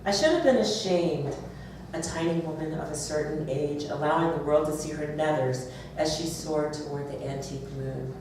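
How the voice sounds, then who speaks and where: Woman author, low voice, live reading event, distant from the mic, noisy background. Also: moderate pace, very echoey. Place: bookstore, audience event.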